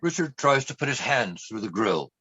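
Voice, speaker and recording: A husky-voiced man reading scripted narration, recorded with a muffled, echoey room sound. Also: medium pitch, big pitch swings, moderate pace, pushed and bright.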